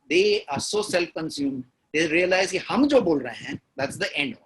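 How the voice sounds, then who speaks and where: A man's voice: high-pitched, bright, forceful tone, slight background noise, low-quality recording. A man with an Indian accent, a guest in an interview, talking emphatically and laying out his points one by one, often repeating key words. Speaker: guest writer. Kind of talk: interview talk on storytelling.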